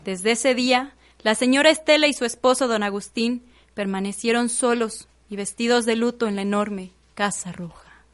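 A woman's voice: on the high side, moderate pace, lightly acted. A woman narrating a radio soap opera in a solemn, scripted storytelling style.

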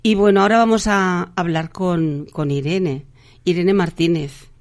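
A woman hosting a live radio show, chatting through announcements in a halting way, with frequent restarts and fillers. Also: lowish voice, fairly even intonation, darkish tone.

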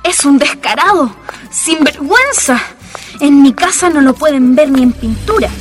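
A woman's voice: high voice, lively intonation, moderate pace, soft background music. A woman acting as a feisty, exasperated Chilean schoolgirl in a radio drama, scolding and sassy. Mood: annoyed, indignant.

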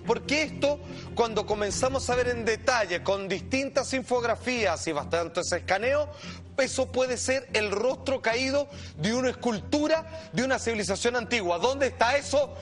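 A man with a Chilean accent presenting and commenting excitedly as a TV show host, emphatic, dramatic and repetitive. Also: very high-pitched, moderate pace. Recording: loud music bed under voice.

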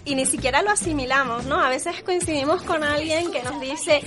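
A woman from Tenerife with a softened Canarian accent, answering casually as an interview guest, with hesitant phrasing and frequent tag questions. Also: high-pitched, moderate pace. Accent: Canarian Spanish softened by years abroad. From Tenerife, Canary Islands. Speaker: interview guest, social media creator. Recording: music behind the voice. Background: music.

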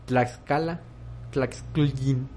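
A man's voice: medium pitch, steady tone, slow pace, darkish tone, a little hiss or hum. A man with a Mexican accent co-hosting a podcast in casual chat, playful and dropping English phrases. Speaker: podcast co-host.

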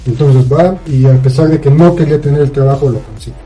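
A man's voice: mid-range voice, quick pace, dark tone, faint music behind, rough recording. A man with a Mexican accent chatting casually as a podcast co-host, recounting a story in a rambling, colloquial way with frequent tag questions. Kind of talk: casual chat, recounting a film plot.

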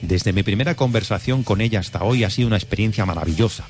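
A man from Spain hosting a radio film show, joking and quick to argue as he reads out film synopses. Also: on the low side, darkish tone, soft background music. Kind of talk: film review radio chat.

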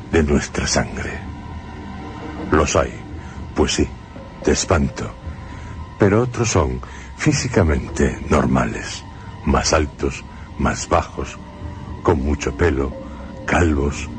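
A man hosting a radio drama program, speaking in a measured, theatrical way with long pauses. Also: very deep voice.

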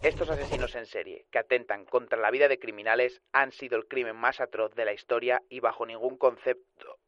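A man's voice: medium pitch, fairly even intonation, a little fast, a bit bright, a little hiss or hum, natural voice. A man playing a resolute detective making a formal televised challenge in a radio drama, firm and declamatory. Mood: defiant, solemn. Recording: dull, lo-fi television broadcast sound.

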